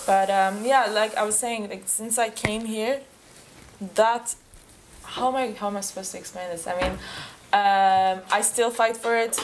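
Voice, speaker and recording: A woman's voice: mid-range voice, steady tone, a little slow, slight background noise. A young woman from Lebanon, a native Armenian speaker, talking earnestly as a podcast guest, often restarting as she searches for words. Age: young adult. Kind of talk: casual conversation.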